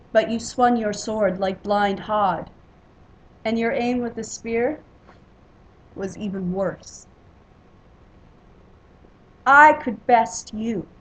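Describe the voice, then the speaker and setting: A woman's voice: medium pitch, a little mellow, muffled recording, natural voice. A woman voicing boastful, rowdy drunken Norse warriors in a storybook myth.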